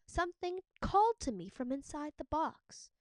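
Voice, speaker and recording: A woman's voice: sits high, big pitch swings, moderate pace, no echo, clean studio sound, pitched above the performer's own voice. A woman voicing a curious, kindhearted young woman from a storybook myth, speaking earnestly. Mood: remorseful. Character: curious, kindhearted young mythical woman, easily tempted, remorseful.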